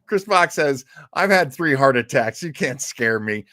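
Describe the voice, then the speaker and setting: A man's voice: mid-range voice, expressive, a little slow, bright, forceful tone, no echo, studio quality. A man hosting a livestream in a chummy, joking, rambling way as he chats casually with viewers. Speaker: livestream host. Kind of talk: casual live chat with viewers.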